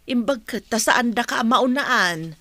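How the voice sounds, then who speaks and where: A woman playing a caring, practical village mother who advises gently in an audio drama. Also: a little high, expressive, measured pace, dry recording, exaggerated voice. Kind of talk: dialogue in an amateur audio drama.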